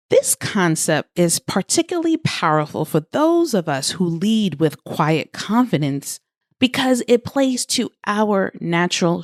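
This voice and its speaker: Female podcast coach, unhurried, animated pitch, scripted motivational read addressed to the listener. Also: dry recording, studio quality. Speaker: podcast host and life coach.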